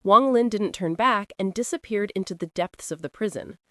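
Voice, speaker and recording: A woman's voice: medium pitch, moderate pace, dry recording. A woman reading a fantasy novel aloud as an audiobook narrator, with intense, dramatic storytelling.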